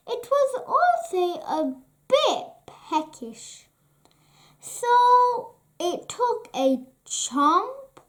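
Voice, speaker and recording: High voice, lively swooping intonation, children's storybook narration, expressive, deliberate. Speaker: children's storybook reader and channel host. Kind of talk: read-aloud bedtime story narration.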